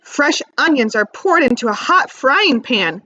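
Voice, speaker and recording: A woman teacher reading aloud to young children, expressively stressing key words and pausing to ask simple questions. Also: high voice, sing-song, sharp tone, no room sound, muffled recording. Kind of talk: read-aloud lesson with questions to young listeners.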